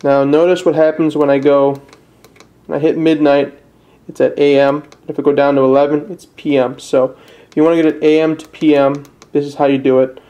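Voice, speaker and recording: A man's voice: fairly high pitch, little pitch movement, a little fast, dark tone, highs cut off. A young man with a Midwestern American accent giving a casual, somewhat hesitant step-by-step tutorial in plain words. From northern Illinois, USA. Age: young adult. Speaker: amateur how-to presenter. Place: indoors at a desk.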